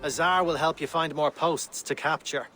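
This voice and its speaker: An actor playing a brisk, approving trading post worker in a video game, with a hearty, businesslike manner. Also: male voice, on the high side, fairly even intonation, sharp tone, faint music behind. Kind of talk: video game character dialogue.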